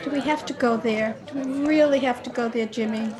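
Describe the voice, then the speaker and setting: Casting: actress for a reluctant, nervous young lady in a radio play, lightly high-pitched, pleading delivery. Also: brisk, crowd noise, lightly acted. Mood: anxious, pleading. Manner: anxious, pleading. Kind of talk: old-time radio acting.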